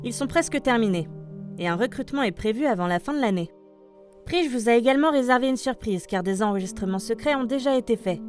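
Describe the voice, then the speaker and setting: A woman's voice: fairly high pitch, expressive, fast. A woman reading scripted podcast news as presenter, addressing listeners like an announcer. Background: light music.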